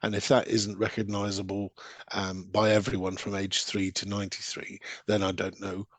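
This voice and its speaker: A man talking as an interview guest, reflective and halting, then landing short emphatic statements. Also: fairly low pitch, completely flat delivery, no echo. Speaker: podcast guest from pantomime theatre. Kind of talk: conversational interview talk.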